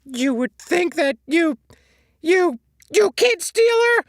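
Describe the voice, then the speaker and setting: A man voicing a whiny, frantic male sea sponge who squeaks and wails in a storybook reading. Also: normal speed, no room sound, clean studio sound. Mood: accusing. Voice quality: squeaky, shrill. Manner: wailing, near-hysterical outbursts.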